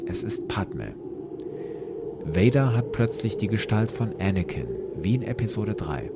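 A man's voice: on the low side, sing-song, measured pace, heavy background noise. A man hosting a podcast who retells a story with vivid, dramatic narration. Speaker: podcast host. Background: music.

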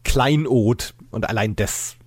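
A man's voice: mid-range voice, slow, slightly rough, no room sound. A man hosting a podcast, talking casually in a reflective, explanatory way. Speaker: podcast host. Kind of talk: casual discussion.

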